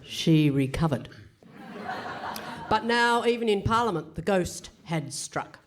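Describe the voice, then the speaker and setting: A woman's voice: mid-range voice, lively intonation, slow pace. A woman playing a melodramatic narrator of a comic mystery in a mock-dramatic radio play, recorded live. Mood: ominous. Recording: crowd noise behind, live hall.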